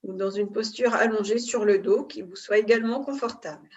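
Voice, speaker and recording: A woman yoga nidra instructor giving calm, unhurried guided relaxation in short phrases with pauses between them. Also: medium pitch. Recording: distant mic, dull muffled sound.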